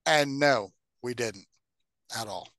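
A man's voice: medium pitch, slow, a bit bright, dry recording, studio quality. A man co-hosting a podcast in casual, opinionated chat about entertainment, blunt and given to casual swearing. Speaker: podcast co-host.

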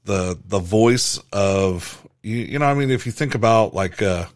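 Low, deep-voiced man in a casual faith talk; rambling, restarts a lot; dry close mic. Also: expressive, a little slow, dry recording.

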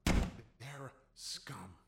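An echoing man's voice over loud noise. He is a hateful militant, declaiming and goading. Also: medium pitch, drawn-out words. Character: hateful, militant man goading with zealous slogans. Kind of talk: audio play acting. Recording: echoey, very noisy sound.

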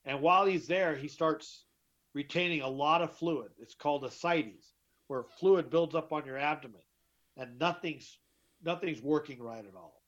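A middle-aged man with a deeper voice, telling his story as an interview guest, emphatic and often repeating himself. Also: normal speed, a bit bright. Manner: emphatic, repeats and restarts words. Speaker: guest, author. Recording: dull lo-fi sound.

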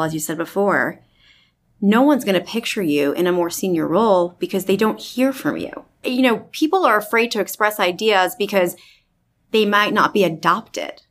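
A woman hosting an interview, asking questions and telling stories in a loose, chatty way. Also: lowish voice. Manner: conversational, recounts anecdotes with fillers. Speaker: interview host. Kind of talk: interview conversation.

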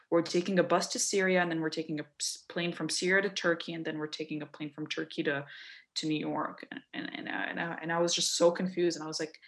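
A young woman from Lebanon tells a story from her own life in a relaxed chat. Her voice sits fairly low, and she slips into quick little voices for the people she quotes. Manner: animated, quoting people in voices. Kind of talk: casual storytelling.

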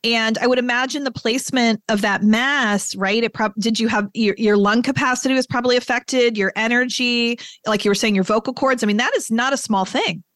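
A woman's voice: fairly high pitch, brisk, few pauses, a bit bright, no echo. Dry-sounding empathetic woman podcast host. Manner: empathetic, reflective, with brief affirmations. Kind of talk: casual interview conversation.

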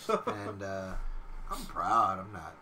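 A man's voice: deep voice, huge pitch swings, slow, pushed and bright, recorded from a distance, slight background noise. A man chatting casually with friends, teasing and cracking crude jokes. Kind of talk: casual chat.

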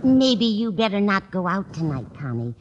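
A woman's voice: mid-range voice, very animated, moderate pace, lo-fi sound, natural voice. An actress playing a kindly, absent-minded older landlady, fussing and muddled, in 1940s radio comedy style. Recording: noisy vintage radio broadcast recording.

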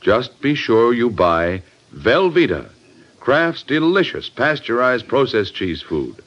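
A male American announcer delivers a vintage radio commercial. His mid-pitched voice swings with lively intonation, and the old recording is a bit muffled. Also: slow.

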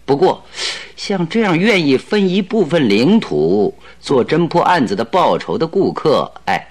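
Animated, jovial detective. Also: male voice, fairly high pitch, a little slow. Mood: explaining, animated. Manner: theatrical, hearty, chuckling delivery. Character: confident, jovial male detective, shrewd and animated. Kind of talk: Mandarin radio drama acting.

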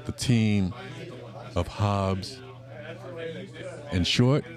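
A man's voice: lowish voice, drawn-out words. A man co-hosting a casual chat at an event. He talks with enthusiasm and often repeats words as he starts a sentence. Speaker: co-host. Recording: crowded room chatter.

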